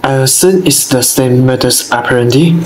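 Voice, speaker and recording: A man's voice: medium pitch, normal speed, music in the background, close to an everyday voice, low-quality recording. A man acting a proud, self-assured detective in a student radio drama, speaking formally with haughty confidence. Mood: cool, probing.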